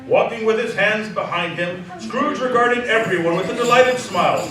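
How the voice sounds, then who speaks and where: Very high-pitched male storyteller, echoey. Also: moderate pace, music in the background. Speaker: narrator. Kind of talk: scripted storytelling narration. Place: live theatre stage. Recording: very echoey stage from far off.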